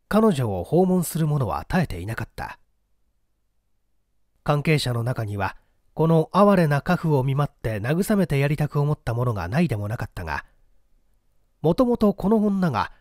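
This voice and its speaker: A man's voice: on the high side, darkish tone, dry recording, studio quality. A man reading a mystery story aloud as a dramatic, scripted narration. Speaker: audiobook reader.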